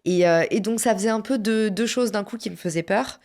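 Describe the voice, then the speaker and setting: A French woman telling a personal story in a casual interview, lively and slangy, with many fillers and restarts. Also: medium pitch, fairly quick. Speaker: podcast guest, entrepreneur.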